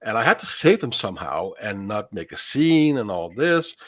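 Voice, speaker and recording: A man with a very lively, varied pitch tells a personal story on a casual podcast, recorded close and dry. His phrasing is a little halting, built up piece by piece. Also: no echo. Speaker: podcast panelist.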